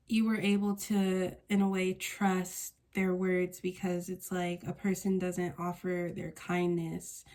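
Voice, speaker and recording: A stuffy-nosed woman hosts a casual tarot reading in a mellow, flat tone. She meanders, searching for words and revising herself mid-thought. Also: mid-range voice. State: congested, stuffy nose. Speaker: tarot card reader.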